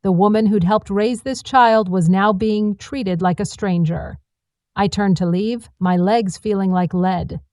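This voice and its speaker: A woman's voice: medium pitch, steady tone, measured pace, darkish tone, no echo. A woman reading scripted, first-person dramatic storytelling narration.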